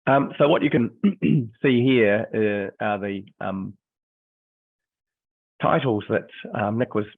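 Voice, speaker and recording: A middle-aged New Zealand man with a croaky voice from illness, a history teacher giving a webinar presentation in a hesitant way, with many ums and restarts. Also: on the low side, takes it slowly, no room sound. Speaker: history teacher and textbook author. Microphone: video call. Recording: dry, phone-like video call audio.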